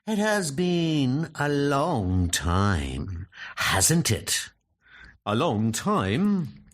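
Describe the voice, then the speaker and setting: A man voicing a cunning, vengeful Norse god of mischief with theatrical, taunting, drawn-out delivery in a comic book dub. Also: mid-range voice, wildly swooping pitch, heavily acted. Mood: sly, menacing.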